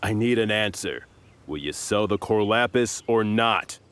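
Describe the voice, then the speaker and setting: A man's voice: lowish voice, expressive, a little slow, fairly bright tone, clear, resonant tone. An actor playing a menacing thug in a video game, taunting and threatening as he talks. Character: menacing, sneering male debt-collecting thug.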